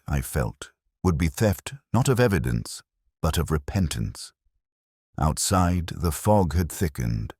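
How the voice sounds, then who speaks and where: A man acts a brooding older English police inspector with a strikingly deep voice that rises and falls expressively. He narrates a detective audio drama in solemn, measured, literary phrases. The sound is dry and intimate, with a melancholy, reflective temper. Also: darkish tone, no echo, heavily acted. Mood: hushed unease.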